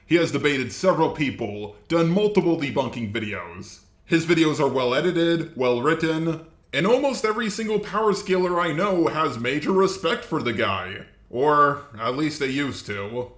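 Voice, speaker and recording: A man's voice: on the high side, lively intonation, moderate pace, a bit bright, reverberant. A man hosting an informal scripted commentary video, talking in a blunt, casual way with some swearing. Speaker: host, commentator.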